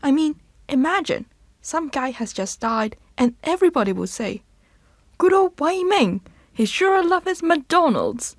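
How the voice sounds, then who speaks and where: Wry young woman, played by a woman, highish voice, animated swings, curious, conversational stage dialogue. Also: unhurried, no room sound, studio quality. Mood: amused. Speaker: actor in a student play.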